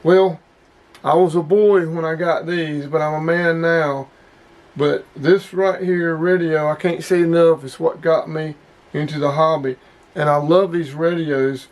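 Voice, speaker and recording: Middle-aged man, somewhat high voice, folksy, plain-spoken, casual hobbyist review. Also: fairly even intonation, unhurried. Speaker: hobbyist video host. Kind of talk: casual product review vlog.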